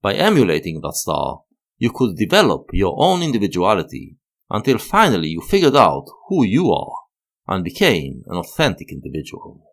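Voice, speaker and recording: Casting: male video-essay narrator, fairly deep, sweeping melodic delivery, analytical, with emphatic pauses. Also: wildly swooping pitch, gravelly.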